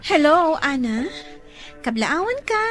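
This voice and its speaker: A woman's voice: high voice, big pitch swings, slow pace, fairly bright tone, soft background music, exaggerated voice. A woman playing a catty, scheming young student daughter in a Filipino radio drama. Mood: sly, sweet. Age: young adult.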